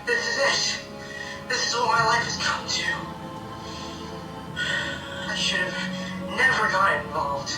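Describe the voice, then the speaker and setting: An actor playing a frightened accused broadcaster, halting and emotional, in a radio drama. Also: mid-range voice, animated, far from the mic. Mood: despairing regret. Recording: echoey playback over speakers, music behind.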